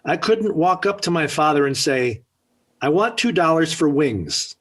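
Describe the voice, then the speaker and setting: Exasperated, swooping radio sitcom dad. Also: male voice, a little high, big pitch swings, unhurried, fairly bright tone, heavily acted. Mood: sardonic, firm. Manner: stern, dry, put-upon. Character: exasperated, sardonic middle-aged suburban father. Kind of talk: 1940s radio sitcom acting. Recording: vintage 1940s radio broadcast.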